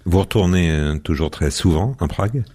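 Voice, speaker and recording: Very deep-voiced formal radio host. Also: male voice, normal speed, a little mellow. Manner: formal, elaborate questions.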